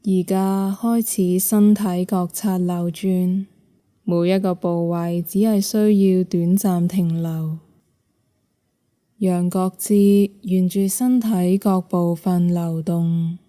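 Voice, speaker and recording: A woman with a Hong Kong Cantonese accent leads a guided meditation. Her voice is low and very mellow, with a deeply relaxed, dark tone. She speaks slowly and softly, leaving long, soothing pauses between phrases. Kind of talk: guided yoga nidra meditation narration.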